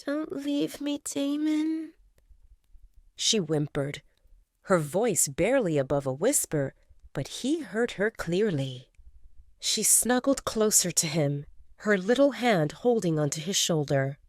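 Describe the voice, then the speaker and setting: A woman narrating a scripted audio drama in an expressive, intimate storytelling manner. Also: on the low side, unhurried, no echo, clean studio sound.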